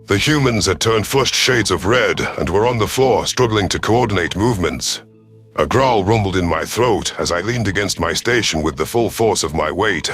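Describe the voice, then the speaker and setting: A man narrates a science fiction story in the first person. His voice sits on the low side, and he reads from a script as a storyteller. Soft music runs underneath. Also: animated, normal speed. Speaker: narrator of sci-fi audio stories. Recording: music behind the voice.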